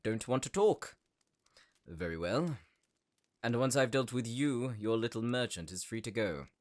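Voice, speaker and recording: A man acts out a pompous sorcerer villain of noble birth for an audio drama. His mid-pitched delivery is highly animated, theatrical and gloating, with menace under the grandeur. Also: measured pace, lightly acted. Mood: mocking, smug. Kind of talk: audio roleplay acting.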